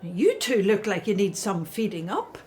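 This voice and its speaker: Actress as elderly mother in radio drama, loving, anxious, very animated delivery. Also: mid-range voice, moderate pace, slight background noise, natural voice. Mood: overjoyed, relieved.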